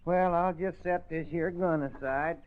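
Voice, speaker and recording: An actor playing a gullible, apologetic country husband and farmer with a Southern accent, earnest and polite, in old radio drama style. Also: male voice, on the high side, steady tone, a little hiss or hum, close to an everyday voice. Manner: earnest, slow-witted, polite, folksy. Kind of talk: 1950s radio drama acting. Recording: tinny old radio broadcast recording.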